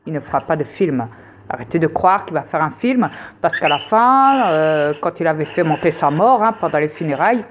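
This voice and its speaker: Woman ranting to camera, low pitch, faint noise behind. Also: lively intonation, moderate pace. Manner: insistent, lecturing, many fillers. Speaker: conspiracy video host. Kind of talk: casual rambling monologue.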